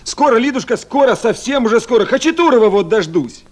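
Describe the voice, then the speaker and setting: A high-voiced, animated duty officer. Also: male voice, brisk. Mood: tender, worried. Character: busy, caring male town committee duty officer. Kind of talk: 1970s Soviet radio play acting.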